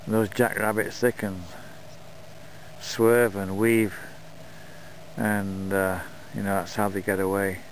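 A man narrating his dog scooter run outdoors in the desert in a relaxed, unhurried way. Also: fairly low pitch, a little hiss or hum, poor audio. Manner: relaxed, unhurried talk with fillers. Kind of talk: casual vlog narration.

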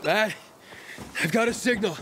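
A man's voice: sits high, unhurried, very noisy. An actor voicing an earnest, determined young male survivor in a video game. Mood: urgent.

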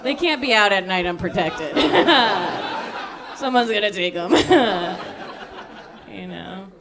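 A young woman doing stand-up on a club stage into a handheld mic, dropping dark punchlines in a chatty, deadpan way. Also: medium pitch, slow pace, creaky, a crowd in the background. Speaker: stand-up comedian. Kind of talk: stand-up comedy set. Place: comedy club stage before a live audience. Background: audience laughter.

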